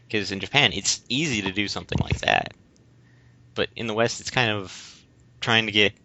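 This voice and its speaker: A man co-hosting a podcast, speaking informally and haltingly in casual discussion. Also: lowish voice, pushed and bright, a little hiss or hum. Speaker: podcast co-host. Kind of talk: casual discussion of video games. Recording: dull lo-fi close voice recording.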